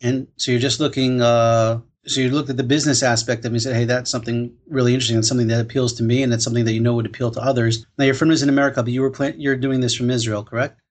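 A man's voice: mid-range voice, little pitch movement, very fast, few pauses. A man hosting an interview, asking eager, chatty follow-up questions. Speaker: interviewer, host. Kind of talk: casual interview.

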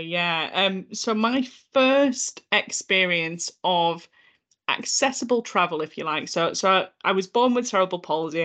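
A woman's voice: lowish voice, a bit bright. A woman in her thirties with a Yorkshire accent, a podcast guest telling her own story with some repeated words. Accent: Northern English, Yorkshire. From North Yorkshire, England. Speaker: guest, accessibility consultant. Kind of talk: conversational podcast interview.

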